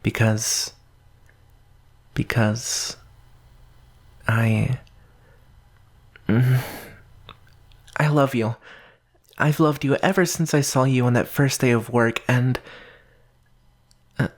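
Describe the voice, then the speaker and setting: A man acts out an obsessive young male stalker for an ASMR roleplay. He speaks very intimately at an unhurried, halting pace in a mid-pitched voice. His devotion is tender yet possessive, with quiet menace underneath. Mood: infatuated, possessive. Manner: slow, halting, breathy murmurs, nervous laughs.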